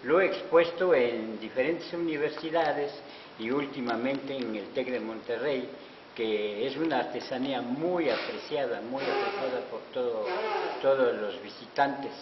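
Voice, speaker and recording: A man's voice: mid-range voice, a little slow. An older man from Oaxaca whose first language is Zapotec, telling his story modestly in an interview, speaking into a handheld mic in an indoor hallway. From Oaxaca, Mexico. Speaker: interviewee, artisan promoter. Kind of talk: interview answers. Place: wood-paneled auditorium hallway, indoors. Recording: echoey hall, dull lo-fi sound with hiss.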